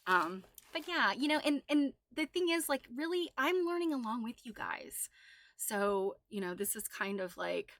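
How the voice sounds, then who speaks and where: A woman's voice: fairly high pitch, big pitch swings, normal speed, a bit bright, no room sound, studio quality. A woman hosting a casual livestream, chatting and reading aloud in an enthusiastic, exclaiming way. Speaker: livestream host.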